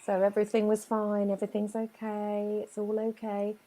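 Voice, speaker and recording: A woman's voice: medium pitch, completely flat delivery, warm tone, rough recording. A woman from Chile with a Chilean Spanish accent, telling her life story earnestly in an interview. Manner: earnest, emphatic storytelling with dramatic pauses. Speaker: guest business owner.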